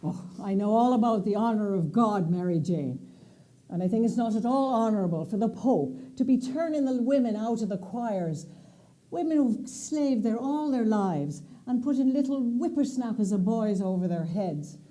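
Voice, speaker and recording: A woman's voice: a little high, lively intonation, moderate pace, mellow, natural voice. A woman playing an outspoken elderly aunt who grumbles with indignation. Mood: indignant.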